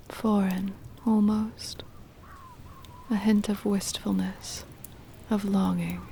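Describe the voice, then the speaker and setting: A woman playing a possessive, doting aristocratic vampire mistress in an ASMR roleplay, whispering breathily in a slow, formal, soothing manner close to the microphone. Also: medium pitch, darkish tone, a little hiss or hum. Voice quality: breathy whisper with creaky fry.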